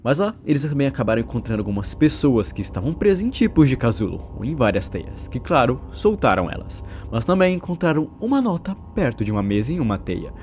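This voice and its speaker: A man's voice: medium pitch, very animated, a little fast, few pauses, darkish tone, slight background noise. A man with a Brazilian accent narrating a recap of a horror story.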